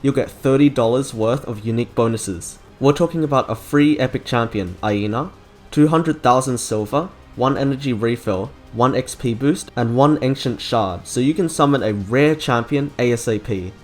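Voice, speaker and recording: A man delivers scripted video essay narration as the host. His voice sits at an ordinary male pitch, with music playing behind it throughout. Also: normal speed, darkish tone.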